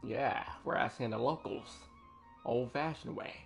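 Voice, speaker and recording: A young man streams a video game and chats through it, joking, chuckling and answering the characters as he goes. His voice sits in an ordinary middle pitch. Faint game music hums underneath. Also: unhurried, creaky. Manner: jokey, chuckles often, talks back to game characters. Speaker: gaming streamer. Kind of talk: casual commentary while playing.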